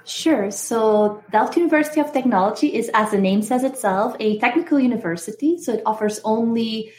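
A woman's voice: fairly high pitch, a little fast, a little mellow. A woman in her thirties from Belgium, explaining things as an interview guest and professor. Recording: roomy, echoing sound.

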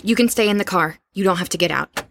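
A woman's voice: fairly low pitch, quick pace, gravelly, a bit bright, slight background noise. A woman playing a worried, determined young roommate in an audio drama, direct and insistent. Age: young adult.

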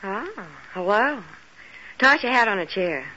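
Seductive femme fatale, bright voice, coy, evasive, 1940s radio drama, muffled recording. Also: female voice, mid-range voice, sing-song, measured pace, a little hiss or hum. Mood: coy, breezy. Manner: coy, flirtatious, hesitant. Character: Blanche, toy-sized seductive, evasive brunette femme fatale.

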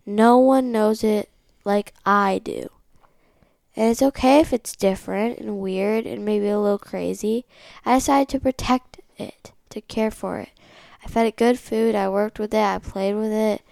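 A woman's voice: mid-range voice, fairly even intonation, unhurried, creaky, a little mellow, no room sound. A woman reading a picture book aloud as the host of a read-along.

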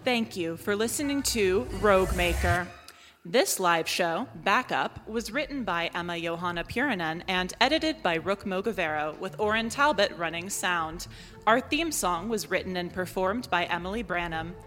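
A woman's voice: on the low side, expressive, moderate pace, a bit bright, soft background music. A woman reading scripted closing credits as a performer at a live show. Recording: echoey live hall, reverberant.

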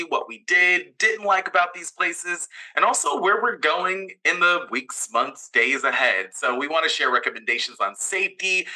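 A man's voice: medium pitch, bright, forceful tone, poor audio. An American man co-hosting a podcast in an upbeat presenting style. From Atlanta, Georgia, USA. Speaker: podcast co-host.

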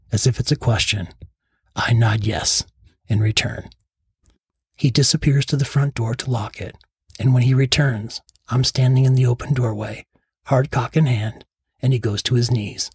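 Husky man narrating, close dry sound. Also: medium pitch, no echo. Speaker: podcast host. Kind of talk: scripted first-person storytelling narration.

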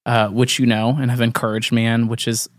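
A man's voice: medium pitch, flat delivery, a little fast, no room sound, clean studio sound. A man hosting a podcast, asking earnest, rambling questions with lots of fillers. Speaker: podcast host. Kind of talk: casual interview chat.

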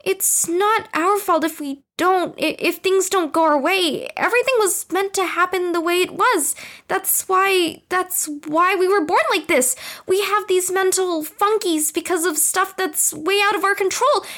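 Woman as a very high-pitched, earnest girl. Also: normal speed, fairly bright tone. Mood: hesitant, earnest. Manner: hesitant, rambling. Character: earnest, rambling girl in a group lesson. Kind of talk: audio drama acting.

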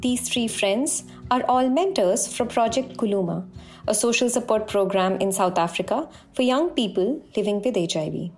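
A woman hosting a podcast, reading a measured, explanatory script. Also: mid-range voice, a little mellow, faint music behind. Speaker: podcast host.

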